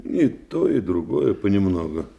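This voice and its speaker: An actor in a radio play voicing a composed, modest psychiatrist sleuth, courteous, analytical and measured in delivery. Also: male voice, deep voice, sing-song, creaky, mellow, slightly rough, heavily acted. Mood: courteous, calm.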